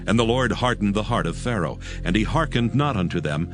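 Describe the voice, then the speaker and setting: A man's voice: on the low side, animated, fairly quick, close to an everyday voice. A man narrating a dramatized scripture reading in a solemn, scripted style. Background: music.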